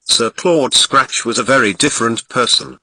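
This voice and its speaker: A man's voice: mid-range voice, normal speed, sharp tone, no room sound, poor audio. A man with a Ghanaian accent reading a nursery fairy tale aloud, lingering expressively on descriptive words. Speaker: narrator. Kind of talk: storybook reading.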